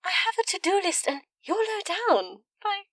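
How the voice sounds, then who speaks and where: A woman's voice: very high-pitched, sing-song, moderate pace, a bit bright, no echo, heavily acted. A woman playing a flippant, menacing politician in a science-fiction audio drama, with a breezy, sarcastic manner. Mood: sarcastic, dismissive. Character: flippant, menacing female politician holding captives.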